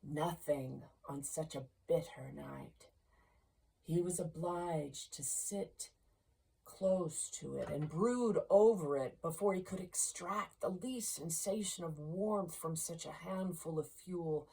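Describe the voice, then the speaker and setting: Slow, lower-pitched woman reading a story. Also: gravelly, dark tone, slightly rough, low-quality recording. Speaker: amateur audiobook reader. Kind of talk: scripted storybook narration.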